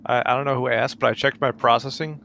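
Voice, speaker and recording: A man's voice: mid-range voice, fairly even intonation, fast talker, gravelly, pushed and bright, a little hiss or hum. A man talking casually, partly in character, as a player in a tabletop game.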